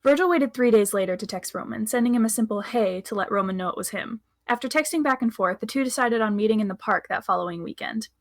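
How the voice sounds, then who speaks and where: A woman's voice: on the high side, fast talker, few pauses, no room sound, studio quality. A woman reading scripted fiction aloud as the narrator of a story podcast.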